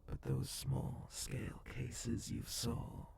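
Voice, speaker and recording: A man playing a menacing, sadistic cyborg gang boss and bounty hunter in a dark ASMR roleplay, in a breathy, gravelly whisper with slow, taunting delivery. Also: medium pitch, very animated, heavy background noise, full character voice. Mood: taunting, commanding. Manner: slow, deliberate, taunting, swearing.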